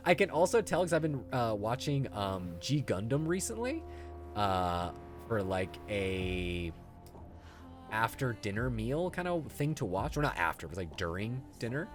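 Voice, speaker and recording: Animated man chatting on a game stream. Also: lowish voice, unhurried. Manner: easygoing, chuckles often while talking. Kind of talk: casual livestream chat, reading viewer comments aloud. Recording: music playing behind the voice.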